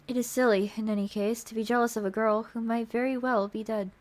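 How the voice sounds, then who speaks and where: A woman's voice: a little high, steady tone, brisk. A woman streamer who narrates a visual novel aloud and chats casually with viewers. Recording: music playing behind the voice. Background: music.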